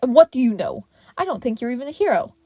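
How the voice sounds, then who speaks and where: A woman's voice: fairly high pitch, big pitch swings, fast, dark tone, dry recording, soft background music, voice pushed up. A woman voicing a proud male hero and novice teacher in a story reading, protesting defensively.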